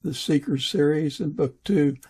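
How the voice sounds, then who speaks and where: A man hosting a casual interview, thinking aloud and pausing between phrases as he responds to his guest. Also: fairly high pitch, a little mellow, slightly rough. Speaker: podcast host, interviewer.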